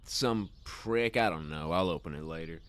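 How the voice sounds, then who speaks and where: An actor playing a weary, guarded rodeo rider, in halting, plain-spoken radio drama style. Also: male voice, deep voice, fairly bright tone. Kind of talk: naturalistic radio drama acting.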